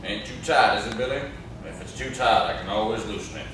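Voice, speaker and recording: Young guy doing menacing old country man in a stage play, dry and taunting, bit low, far from mic, noisy. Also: fairly quick, natural voice. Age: young adult. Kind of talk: amateur stage play acting. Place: classroom-like room, indoors. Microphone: far camera. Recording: echoey room, distant camera mic, clear noise.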